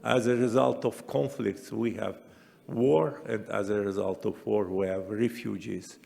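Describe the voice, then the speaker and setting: A man giving a formal ceremonial speech as a delegate, in deliberate, broken phrases with non-native grammar. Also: fairly low pitch, fairly even intonation, gravelly, a little mellow, in a large hall. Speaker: parliamentary assembly delegate. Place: large assembly hall. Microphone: podium microphone.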